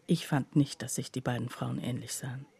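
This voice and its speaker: An actress playing a skeptical woman confiding about her friend in a radio play, her voice husky and breathy and her delivery hushed. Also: a bass voice, steady tone, fairly quick, full character voice. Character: skeptical female friend recounting her friend's odd behaviour. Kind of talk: literary radio play narration.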